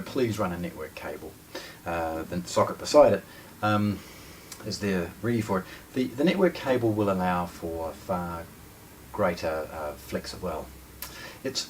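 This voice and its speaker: A man gives an unscripted product presentation in a fairly low voice. He explains things informally, like a shop presenter. The mic sits some way off in an indoor showroom, with faint noise behind him. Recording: distant mic, background room noise.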